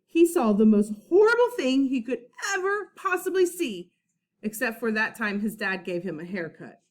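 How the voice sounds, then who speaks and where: A woman reads a children's storybook aloud like a first-grade teacher. Her voice is on the high side and very lively in pitch, with dramatic emphasis and questions aimed at young listeners. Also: moderate pace.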